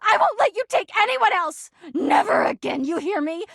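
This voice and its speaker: A woman voicing a fierce, protective, threatening video game character with a shrill delivery. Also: lively intonation, normal speed, creaky, no room sound, clean studio sound, heavily acted. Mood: furious, distraught.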